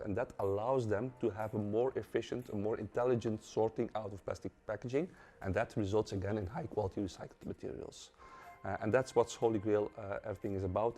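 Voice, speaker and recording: A man, a company's head of innovation, calmly explaining to camera with frequent fillers. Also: fairly low pitch.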